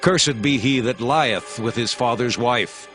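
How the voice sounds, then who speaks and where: An actor playing a Levite priest who sternly proclaims curses before a crowd, in a dramatized scripture reading. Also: male voice, medium pitch, measured pace, lightly acted. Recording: music bed beneath voice.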